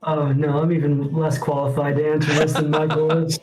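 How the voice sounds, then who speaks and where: A man's voice: fairly high pitch, completely flat delivery, a little fast, a little mellow, soft background music, poor audio. A man, a game composer, chatting casually and modestly in a developer Q&A. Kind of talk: casual Q&A chat.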